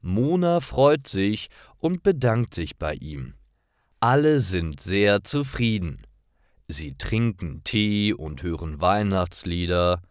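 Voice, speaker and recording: A man narrating scripted stories for language learners in a deliberate, clearly enunciated, expressive way. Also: lowish voice, no echo, studio quality.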